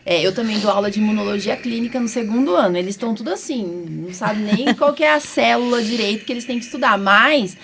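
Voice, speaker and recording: A woman's voice: a little high, fast talker, vocal fry, hardly any pauses, fairly bright tone. Chatty Brazilian woman, faint background noise. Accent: Brazilian Portuguese. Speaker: podcast host, allergist doctor. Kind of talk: casual podcast chat.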